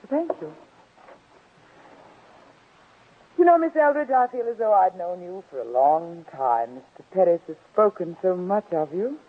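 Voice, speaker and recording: A woman's voice: lowish voice, very animated, moderate pace. An actress playing a poised, slyly probing young woman visitor, talking in a polite, genteel, conversational way in 1940s radio drama style. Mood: friendly, probing. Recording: tinny, muffled old-time radio recording.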